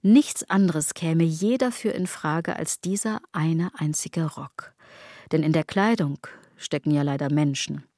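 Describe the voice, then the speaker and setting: A woman's voice: sits low, measured pace, warm tone, dry recording, clean studio sound. An actress playing a self-critical woman who muses ironically in a literary radio drama monologue. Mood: ironic musing. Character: self-critical woman brooding obsessively over clothes, body and gods.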